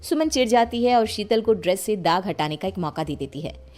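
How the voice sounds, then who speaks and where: A woman's voice: medium pitch, expressive, fairly quick, music in the background. A woman narrating a family story as scripted storytelling.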